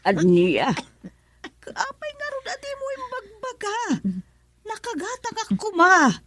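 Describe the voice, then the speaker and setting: A woman's voice: very high voice, huge pitch swings, measured pace, a bit bright, slight background noise, heavily acted. A woman playing a middle-aged mother who anxiously pleads with and cares for her sick daughter in a radio drama. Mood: worried. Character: worried mother caring for her ill daughter.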